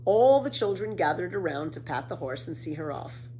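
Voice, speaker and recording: Woman, children's story narrator, expressive, lively intonation, faint background noise. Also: on the low side, normal speed, poor audio. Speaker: storybook reader.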